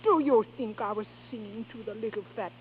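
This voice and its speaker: An actress playing a glamorous, teasing opera singer and estranged wife in a theatrical 1930s radio style. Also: female voice, on the high side, brisk, lightly acted. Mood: wounded, reproachful. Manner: theatrical, coquettish. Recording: scratchy, tinny 1930s radio recording.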